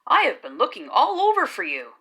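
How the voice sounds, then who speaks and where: Woman voicing caring storybook man, brightly animated. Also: high-pitched, fairly quick, heavily acted. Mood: relieved. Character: caring, mildly stern adult man in children's storybook.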